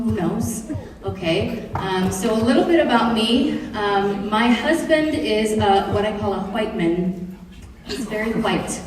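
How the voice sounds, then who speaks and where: A middle-aged woman from Kenya giving a lively classroom presentation to high school students, joking and asking the audience questions. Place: large room or hall. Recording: echoey hall, voice from far off.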